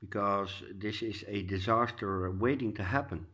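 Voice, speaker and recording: A man's voice: on the low side, measured pace, a bit bright. A man with a Dutch accent giving a casual home update, a bit stuffy and congested, talking hesitantly with lots of uh's. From Netherlands. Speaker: orchid grower vlogger. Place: indoors at home.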